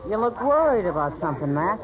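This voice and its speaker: An American actress playing a frank, sharp-tongued frontier saloon woman in a radio western. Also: medium pitch, big pitch swings, fast, natural voice. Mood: wry. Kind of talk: 1950s radio western acting. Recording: dull old radio, crowd behind.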